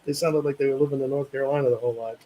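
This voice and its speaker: A man's voice: mid-range voice, steady tone, racing, dull audio. A man from Massachusetts telling a family story in a casual group chat, speaking in a chatty way. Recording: clear background noise.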